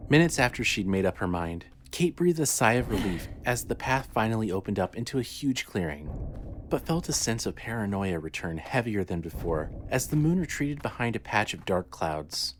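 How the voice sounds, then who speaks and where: A man's voice: lowish voice, very animated, normal speed, a little hiss or hum. A man reading scripted horror fiction as the narrator of an audio story.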